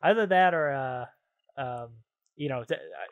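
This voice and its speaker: A man's voice: mid-range voice, expressive, a little slow. A man hosting a podcast, chatting casually about video games in an informal, slangy, easily amused way. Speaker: podcast host.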